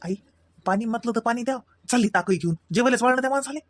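A man's voice: very high voice, racing, no room sound. A man playing a stingy grown son in a village comedy cartoon, complaining and sarcastic, with a Varhadi Marathi accent. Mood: annoyed, sarcastic.

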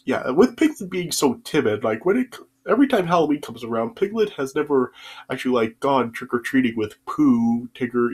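A man's voice: mid-range voice, breathy edge. Stuffy-nosed young man rambling on webcam. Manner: rambling, many fillers and restarts. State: stuffy nose from a cold. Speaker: YouTube reviewer. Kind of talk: casual video review. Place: small bedroom, indoors. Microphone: webcam at desk distance.

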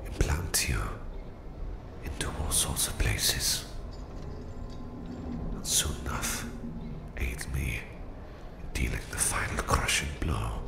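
A man voicing a menacing, gloating Russian villain who captures his listener, in a slow, sinister ASMR roleplay whisper with a gravelly voice. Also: full character voice. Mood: coaxing menace. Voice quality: very deep, gravelly, breathy whisper. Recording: roomy, echoing voice.

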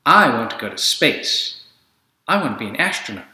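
Earnest, pleading young girl for a storybook, bright and animated man reader. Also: medium pitch, normal speed. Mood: determined. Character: young girl dreaming of space, earnest, easily discouraged. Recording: echoey room.

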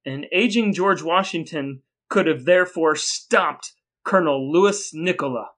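A man with a slightly high voice hosts a casual podcast. He tells stories with wry humor and a playfully grand turn of phrase, chatting like a comic storyteller. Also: a little slow, fairly bright tone. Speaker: podcast co-host. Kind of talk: casual comedic storytelling chat.

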